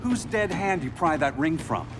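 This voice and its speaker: A wry male speedster hero weighed down by past failures. His voice sits slightly high with lively shifts in pitch. A loud game score fills the background. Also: music in the background. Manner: quick, wry, reflective. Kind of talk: video game cutscene acting.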